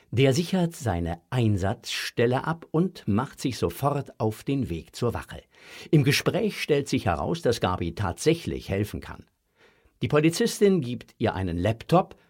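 A man's voice: mid-range voice, darkish tone, slightly rough. A man narrating a scripted children's audio story with a dramatic, suspenseful delivery.

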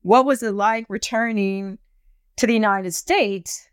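A woman's voice: medium pitch, measured pace, clean tone, no room sound, studio quality. A woman hosting an interview podcast in a conversational style.

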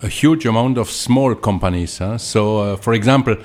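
Low-voiced French winemaker explaining his craft. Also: a little mellow. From Alsace, France. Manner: hesitant, deliberate phrasing in non-native English. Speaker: winemaker, interview guest. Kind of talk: explanatory interview talk.

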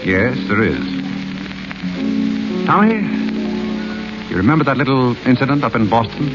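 An actor voicing a polite, reserved butler in a 1930s radio drama, formal and courteous. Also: male voice, mid-range voice, animated, moderate pace, lo-fi sound, loud music behind the voice, close to an everyday voice. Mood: composed. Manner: formal, courteous, hesitant when cornered. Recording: scratchy 1930s radio broadcast.

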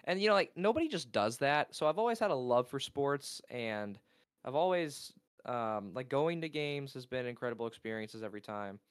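A young man with a naturally loud, resonant voice tells his story in a relaxed interview. He rambles, gets excited and loses his place. The sound is dry and close. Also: medium pitch, normal speed, clean tone, dry recording. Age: young adult. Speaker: esports caster and college player. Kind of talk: casual interview talk.

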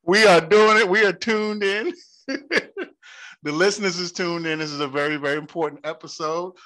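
A man's voice: high voice, fairly even intonation, moderate pace, a bit bright. A man hosting a podcast, interviewing a guest over the phone in an upbeat, encouraging way. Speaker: podcast host.

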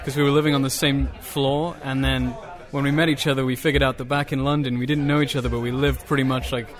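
A man's voice: mid-range voice, little pitch movement. Easygoing young man from South Africa. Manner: easygoing, halting with fillers. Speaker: band member. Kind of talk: casual interview chat.

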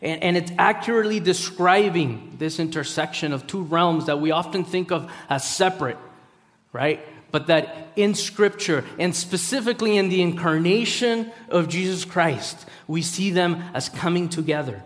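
Male guest preacher, 30s, fairly high voice, halting delivery with fillers, reverberant hall. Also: lively intonation. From Costa Rica. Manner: halting, many fillers and restarts. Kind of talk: sermon with personal introduction. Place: large hall.

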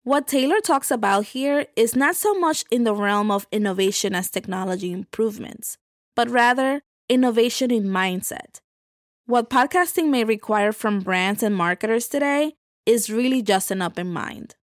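Female podcast host, slightly high voice, scripted narration, dry studio-tight sound. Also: expressive, normal speed, dry recording.

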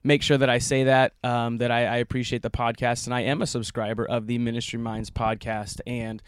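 Male guest, quick speech, smooth clear tone, flat pitch, earnest with restarts. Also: no room sound, clean studio sound. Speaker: guest speaker. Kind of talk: casual chat. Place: camper trailer.

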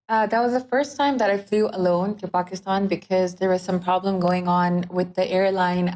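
A woman's voice: medium pitch, darkish tone. A woman in her twenties from Dubai, a guest telling a personal story in an interview. She is chatty, fills her speech with "like" and "uh", and laughs lightly. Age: 20s. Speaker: podcast guest.

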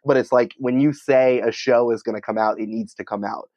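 A man's voice: mid-range voice, fairly even intonation, brisk, darkish tone, no echo, dull audio. A man speaking as a guest in a casual interview, explaining things in an informal, colloquial way. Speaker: podcast company creative head, interview guest.

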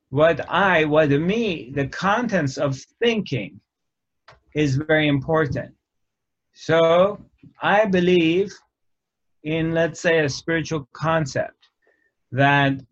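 Slow, measured man teaching spirituality. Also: on the high side, a bit bright. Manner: slow, measured, pausing often between phrases. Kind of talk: reflective spiritual talk. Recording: dull lo-fi recording.

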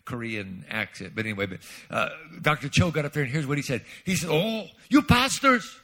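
A man preaching as a guest speaker, telling a personal story in an animated way and swinging between quiet asides and loud outbursts. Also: medium pitch, fast talker. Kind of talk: sermon storytelling.

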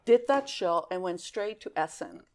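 A woman's voice: on the low side, normal speed, slight background noise. Laughing woman telling work stories. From raised in Greece, born in California. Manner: animated storyteller who laughs often. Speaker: guest. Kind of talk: casual chat, storytelling.

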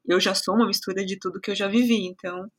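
A middle-aged woman from São Paulo state, Brazil, talking as a podcast guest in a reflective, warm way, full of filler words and restarts. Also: medium pitch, fairly even intonation, fast, slightly rough. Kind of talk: casual conversational interview.

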